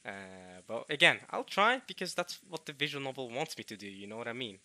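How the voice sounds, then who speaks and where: A young man from Norway, chatting casually to viewers in a halting way, restarting words often with chuckling self-deprecation. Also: mid-range voice, sing-song, pushed and bright, dry recording. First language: Norwegian. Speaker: visual novel let's player.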